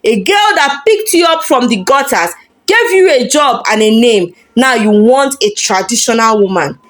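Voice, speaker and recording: Woman voicing blunt, scolding man in a high voice. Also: animated, moderate pace, a bit bright. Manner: reproachful lecturing.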